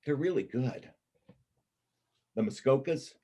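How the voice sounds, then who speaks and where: A man playing a wry, self-doubting ex-convict loner with a husky voice and blunt, colloquial speech in a reader's theater play. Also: mid-range voice, lively intonation, takes it slowly, warm tone. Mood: admiring. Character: Kip, wounded ex-convict drifter, bookish loner, self-doubting. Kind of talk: stage reader's theater dialogue.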